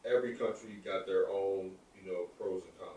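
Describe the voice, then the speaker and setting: A man's voice: sits low, steady tone, moderate pace, warm tone, a little hiss or hum. Male, 30s, podcast co-host, meandering casual talk, recorded far from the mic. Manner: rambling, lots of "like" and restarts. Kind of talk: casual opinion chat. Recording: echoey room, far from the mic.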